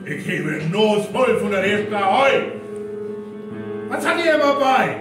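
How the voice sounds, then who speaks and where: A man's voice: high voice, big pitch swings, measured pace, music in the background. An actor playing a blustering, questioning man with a North Frisian accent in a live radio play. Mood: indignant. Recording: very echoey live stage recording, distant mic.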